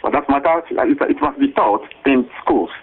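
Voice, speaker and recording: A man's voice: medium pitch, huge pitch swings, normal speed, gravelly, a little hiss or hum. A man with a Ghanaian English accent, a teachers' association official interviewed on radio, talking hesitantly with many fillers and repeated words. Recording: tinny phone line.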